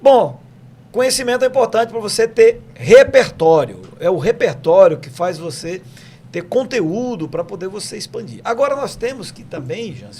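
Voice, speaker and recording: A man's voice: a little high, a little mellow, a little hiss or hum. A man hosting a talk show, speaking in a motivational, preachy way as he introduces his guest.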